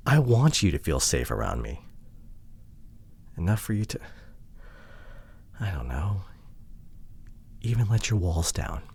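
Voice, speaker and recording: A man acts out a hurt, introverted young boyfriend in an intimate audio drama. His voice sits fairly low and is very breathy and husky. He talks in a hushed, halting way, frustrated and vulnerable as he opens up to his partner. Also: very animated, full character voice. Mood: hurt, pleading.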